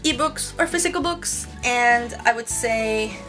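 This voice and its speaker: Bright, high-voiced young woman vlogger. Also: unhurried, faint music behind, low-quality recording. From Mexico. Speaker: book vlogger. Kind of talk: casual to-camera question-and-answer chat.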